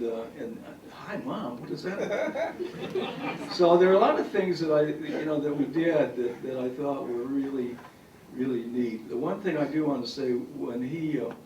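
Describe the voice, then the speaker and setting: An older man, a retired professor, telling rambling stories at a farewell event, his voice raspy and hoarse from bronchitis. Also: mid-range voice, animated, normal speed, a little mellow, recorded from a distance, a little hiss or hum. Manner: rambling anecdotes with frequent fillers. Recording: echoey hall recording from afar.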